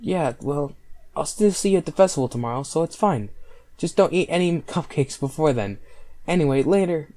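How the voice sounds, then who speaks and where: A man's voice: a little high, animated, normal speed, dark tone, lightly acted. A man voicing a brusque, flustered teenage schoolgirl in a visual novel, curt and evasive.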